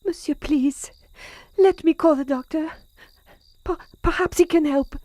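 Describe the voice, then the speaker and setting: An actress playing an anxious, deferential housemaid, timid and pleading, in a radio suspense drama. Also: female voice, high-pitched, measured pace, dark tone, no echo.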